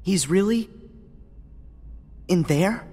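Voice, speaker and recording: High-pitched, earnest teenage boy hero. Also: lively intonation, very slow, mellow, heavily acted. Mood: grave. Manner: earnest, impassioned. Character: brave, stubborn, loyal teenage boy hero. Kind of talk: video game cutscene acting. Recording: long hall reverb.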